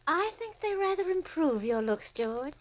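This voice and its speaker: High-voiced, sly swindler's niece. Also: female voice, sing-song, moderate pace. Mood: arch, teasing. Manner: arch, teasing. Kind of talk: old-time radio crime drama acting. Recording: dull vintage radio recording.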